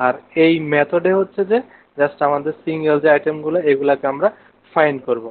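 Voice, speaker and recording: Higher-pitched Bangladeshi man, phone-like sound. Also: fairly even intonation, a little fast. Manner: explains step by step, asking questions of students; mixes in English technical terms. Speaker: programming instructor. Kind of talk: live online coding lesson. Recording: narrow phone-like online class audio.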